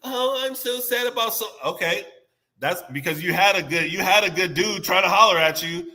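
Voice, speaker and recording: Stuffy-nosed man, bright voice. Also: high-pitched, moderate pace. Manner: rambling, repeats words and restarts sentences. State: stuffy nose, under the weather. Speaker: podcast guest. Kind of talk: casual podcast chat.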